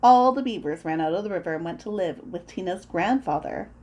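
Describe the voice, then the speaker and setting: A woman reading a children's story aloud in an expressive, deliberate way, as a storyteller acting out the sound words. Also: lowish voice, highs cut off.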